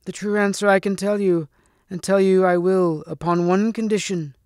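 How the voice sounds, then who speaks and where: A loathly old storybook hag, acted out by a man, speaks in a shrill, cracked voice pitched well up. She is imperious and eager to bargain, with archaic turns of phrase. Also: fairly even intonation, moderate pace, darkish tone, clean tone, dry recording, studio quality. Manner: archaic, commanding speech.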